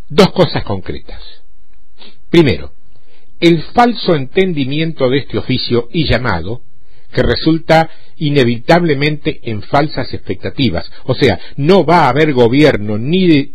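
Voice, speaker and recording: A man's voice: mid-range voice, husky. A man from Argentina with an Argentine accent, giving a conversational podcast sermon and speaking directly to the listener. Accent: Argentine Spanish. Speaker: preacher.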